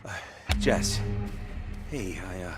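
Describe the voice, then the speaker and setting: An actor playing a weary, guilt-ridden former policeman in a video game, speaking in a halting, self-deprecating way. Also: deep voice, lively intonation, over loud music. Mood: regretful, resigned. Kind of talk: naturalistic video game acting.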